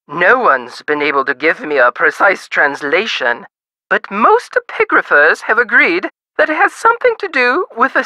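A woman voicing a pompous, melodramatic high-society lady in a video game, genteel and flowery. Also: bright, forceful tone, no room sound, heavily acted, low-quality recording. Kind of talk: video game character dialogue.

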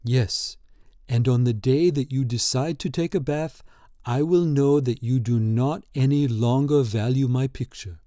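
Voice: mid-range voice, unhurried, dark tone, dry recording, clean studio sound, close to an everyday voice. A storybook reader voicing a skeptical, dismissive young painter in a near-ordinary voice.

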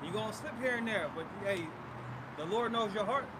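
A man's voice: high voice, a little fast. A man preaching and debating outdoors on a city street, blunt and assertive, calling people bro. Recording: loud street traffic noise.

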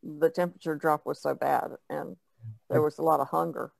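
A woman's voice: low voice, steady tone, a little fast, vocal fry, a little mellow, no echo. A woman chatting casually as a co-host on a podcast. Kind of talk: casual chat.

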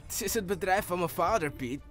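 A man playing a bored rich teenager in an amateur cartoon dub, talking in a lower, drier voice. Every line comes out flat and sarcastic. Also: fairly quick, faint music behind. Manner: dry, sarcastic.

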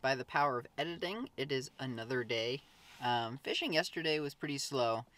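Young adult American male, casual vlogger chat, mid-pitched voice, clear background noise on the recording. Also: fairly quick, a bit bright. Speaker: fishing vlogger. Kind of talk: casual vlog chat to camera. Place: outdoors by a river, sometimes in a car.

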